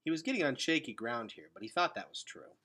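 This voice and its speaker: Male read-aloud narrator for children's fiction: bright, animated, slightly raspy, expressive. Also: medium pitch, quick pace, a little hiss or hum.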